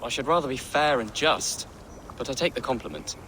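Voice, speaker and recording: A fair-minded young medieval lord in a video game. His mid-range voice moves up and down in a lively way, courteous and earnest, over faint outdoor ambience. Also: medium pitch, brisk, a little hiss or hum.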